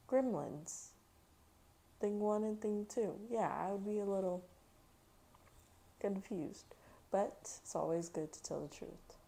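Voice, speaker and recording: A woman's voice: on the low side, gravelly, warm tone. Woman reading a children's storybook aloud. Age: adult mother. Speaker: children's book read-aloud host. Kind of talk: animated storybook reading with casual commentary.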